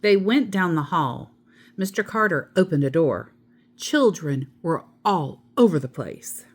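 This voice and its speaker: Slow, animated woman storyteller. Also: on the low side, husky. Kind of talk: children's picture book read-aloud.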